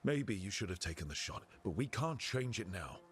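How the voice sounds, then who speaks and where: A man's voice: fairly low pitch, very animated, normal speed, a bit bright. A man playing a resolute, plain-spoken heroic leader in a video game. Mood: determined. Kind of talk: video game dramatic acting. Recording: game music under the voice.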